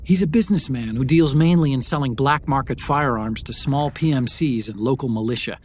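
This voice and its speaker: A man playing an earnest, analytical adviser giving a briefing in a video game, articulate and measured. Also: medium pitch, slight background noise, natural voice. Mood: informative. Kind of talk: video game cutscene acting.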